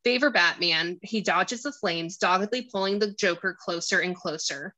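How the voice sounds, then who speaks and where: A woman's voice: lowish voice, moderate pace, bright, forceful tone, poor audio. A woman narrating a screenplay, reading out stage directions and scene descriptions.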